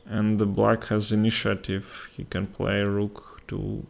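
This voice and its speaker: A young man from Latvia with a Russian accent, commentating a live chess game in a halting way, with many fillers and pauses. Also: fairly low pitch, completely flat delivery, gravelly.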